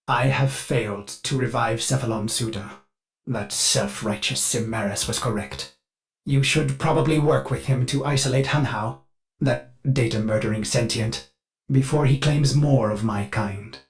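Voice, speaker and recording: An earnest, servile artificial intelligence from a video game, with a synthetic, glitchy robot tone. The delivery is theatrical and fretful, formal in phrasing and utterly devoted. Music plays behind the voice. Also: male voice, medium pitch. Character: devoted, anxious male ship AI, cheery servant with glitches. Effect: synthetic glitchy robot voice processing. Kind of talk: video game character dialogue.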